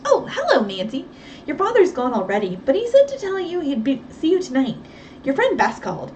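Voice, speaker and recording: A woman reading a storybook and voicing a fussy, excitable young housemaid in a high, hurried voice. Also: distant mic, a little hiss or hum. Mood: chatty.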